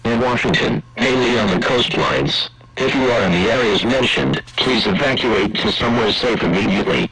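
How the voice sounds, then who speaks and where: A man's voice: mid-range voice, lively intonation, normal speed, hardly any pauses, a loud crowd, low-quality recording. A man reading a formal, scripted emergency alert as a broadcast announcer.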